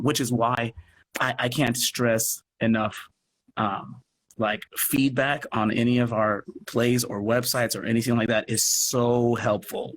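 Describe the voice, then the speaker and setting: A man chatting casually as an interview guest, speaking emphatically and with enthusiasm, often restarting his sentences and adding asides. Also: mid-range voice. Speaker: guest, theatre company producer. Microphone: video call. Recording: noisy live video call.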